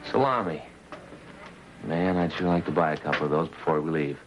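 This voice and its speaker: An actor playing an earnest, chatty American police detective sidekick in 1950s television drama style. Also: male voice, extremely low pitch, normal speed, dull audio. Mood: earnest, eager. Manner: folksy, earnest, conversational. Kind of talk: 1950s police drama acting, light comic relief. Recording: 1950s TV soundtrack with faint hum.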